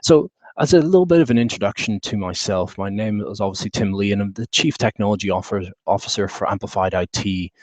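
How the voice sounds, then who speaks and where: A man from the UK with a British accent, presenting a technical session at an online conference in a relaxed way, with many fillers and restarts. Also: lowish voice, fairly quick, few pauses, no room sound. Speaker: webinar presenter.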